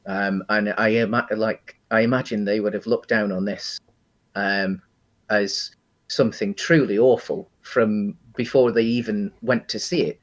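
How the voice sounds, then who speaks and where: Low-pitched British man, halting casual talker. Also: fairly even intonation. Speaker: podcast co-host. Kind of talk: casual film and games discussion.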